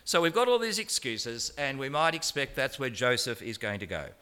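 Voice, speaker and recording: A man's voice: mid-range voice, very animated, moderate pace, bright, forceful tone, smooth. A man preaching a conversational sermon, with wry colloquial humor and rhetorical questions and repeated phrases for emphasis. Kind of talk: conversational sermon on a Bible passage.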